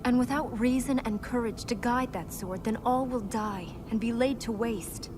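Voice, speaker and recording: Earnest young princess voiced by an actress, noisy background. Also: female voice, fairly high pitch, lightly acted. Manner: serious, measured, idealistic. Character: earnest, thoughtful young princess with magic powers. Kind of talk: video game dialogue. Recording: clear background noise.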